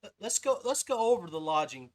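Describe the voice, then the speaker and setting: A man with a notably high-pitched voice hosts a casual podcast conversation. He talks in an informal, folksy way, like someone chatting with friends. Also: very animated, brisk. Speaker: podcast host. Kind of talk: casual interview chat.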